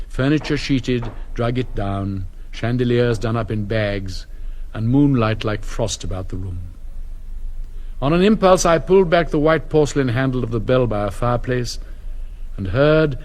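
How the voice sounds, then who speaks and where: An older man playing a wistful upper-class gentleman recalling his gilded youth in a measured, elegiac stage monologue. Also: mid-range voice, lightly acted. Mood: nostalgic. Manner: elegiac, patrician. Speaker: actor. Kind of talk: reflective memoir monologue in a stage play.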